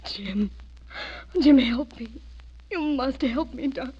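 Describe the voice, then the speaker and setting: A fragile, haunted young woman in a melodramatic radio thriller. Her voice is fairly high yet dark and husky, hesitant and pleading. The recording is muffled. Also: takes it slowly, exaggerated voice. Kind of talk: melodramatic radio horror acting. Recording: muffled radio drama audio.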